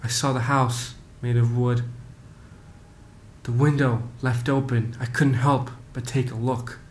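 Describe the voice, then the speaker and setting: A man in his late teens, a student, solemnly reading a poem in deliberate phrases into a webcam at home. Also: level tone. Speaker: student poet. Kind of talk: poetry reading. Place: living room, close webcam. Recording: faint rumbling background noise.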